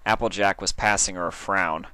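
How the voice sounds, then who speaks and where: A man's voice: sits low, steady tone, moderate pace, pushed and bright, smooth, no echo. A man reading a story aloud as its narrator, in scripted storytelling style. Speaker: fan fiction reader and narrator.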